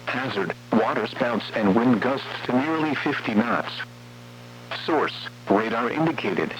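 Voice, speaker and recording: A synthetic male text-to-speech voice with an American accent, reading formal weather warning bulletins in a measured, impersonal way over a radio broadcast. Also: mid-range voice, fairly bright tone. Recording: muffled lo-fi radio with faint hum.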